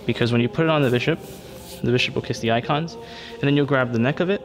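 A man in a church calmly demonstrating how to fold and lay out vestments, repeating steps and checking in with "okay?". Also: mid-range voice, fast talker. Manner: patient, step-by-step, repeats himself with frequent "okay?" checks. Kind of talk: hands-on instructional demonstration. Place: church interior at a table. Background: background music.

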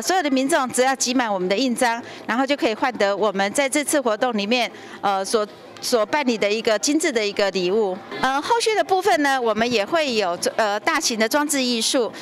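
Interviewed official: middle-aged woman, Taiwanese Mandarin, higher voice, masked, handheld mic in lobby. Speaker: education official. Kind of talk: interview explanation. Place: large indoor lobby. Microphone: handheld news microphone, speaking through face mask.

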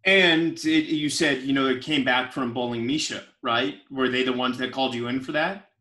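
A male interview host with a bright, clear tone and mid-range pitch. He keeps the chat casual and cheers his guest on with steady short affirmations. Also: normal speed. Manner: encouraging, frequent short affirmations. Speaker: podcast host. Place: Williamsburg apartment.

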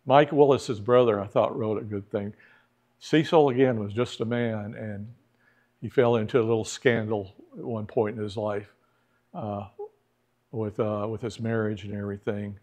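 A man's voice: fairly low pitch, fairly even intonation, moderate pace, darkish tone. A middle-aged man teaching a church history class in a church classroom, talking conversationally, putting questions to the class and acting out the people he quotes.

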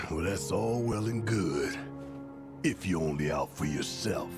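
A gravelly gruff rebel boss. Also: male voice, sits low, animated, slow pace, full character voice. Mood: earnest. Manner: preachy, earnest, folksy. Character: gruff burly male rebel leader. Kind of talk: video game voice acting. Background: game music.

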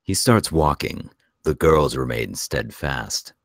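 A man's voice: a bass voice, lively intonation, slow pace, no echo, clean studio sound. A man narrating the stage directions of a screenplay at a table read.

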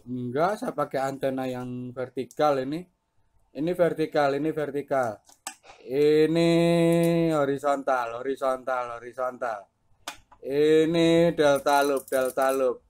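A man's voice: medium pitch, fairly even intonation, measured pace, a little mellow. A man, chatting casually as a CB radio operator. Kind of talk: casual two-way radio chat.

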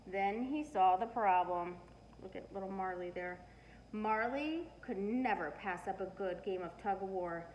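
A middle-aged woman reading a children's storybook aloud with expressive, emphatic storytelling. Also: mid-range voice, measured pace, highs cut off. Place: living room, indoors. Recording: noisy living room recording.

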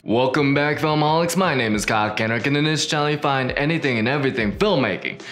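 A man's voice: medium pitch, fast, loud music behind the voice. A young man in his twenties hosting a filmmaking channel, talking casually and eagerly to camera from a small home studio. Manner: upbeat, animated hand gestures, chatty with restarts. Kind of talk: casual talking-head vlog. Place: small home studio with neon lights, indoors.

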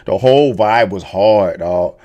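A man's voice: on the low side, a little slow, dark tone. An American man in his thirties giving casual, slangy, profane reaction commentary, emphatic and repeating himself, close on a studio mic. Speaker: reaction video host. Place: small home gaming room. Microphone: close studio mic on boom arm.

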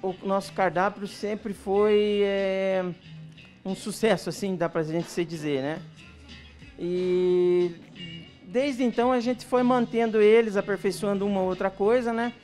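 Man, 40s, halting interviewee, tag questions, muffled by mask, light music. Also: high-pitched, fairly even intonation, darkish tone. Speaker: restaurant representative. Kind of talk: informal TV interview. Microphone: handheld microphone. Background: background music.

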